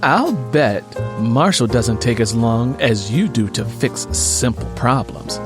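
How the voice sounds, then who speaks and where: A man's voice: mid-range voice, expressive, slow pace, music in the background. A man voicing a critical, nagging married woman with a spiteful streak in an audiobook story.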